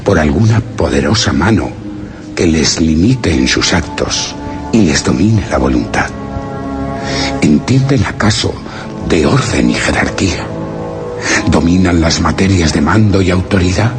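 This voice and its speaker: A man's voice: sits low, lively intonation, measured pace, long pauses, over music. An actor playing a solemn, wondering explorer who narrates his journal in a radio drama, grave and theatrical. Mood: pondering, awed. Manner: grave, literary, theatrical, with rhetorical questions. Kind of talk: Spanish radio drama suspense monologue.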